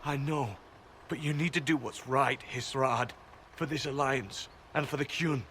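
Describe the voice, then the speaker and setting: An actor playing a stern, dutiful agent with a formal, disdainful manner in a fantasy video game. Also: male voice, mid-range voice, fairly even intonation, a little slow, a bit bright, a little hiss or hum, natural voice. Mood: urgent. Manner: formal, severe. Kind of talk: video game cutscene dialogue.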